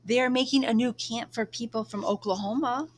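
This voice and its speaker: A woman reading a children's book aloud, voicing an earnest, excitable little schoolgirl. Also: fairly high pitch, fairly quick, slight background noise, voice pushed up, close to an everyday voice. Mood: excited.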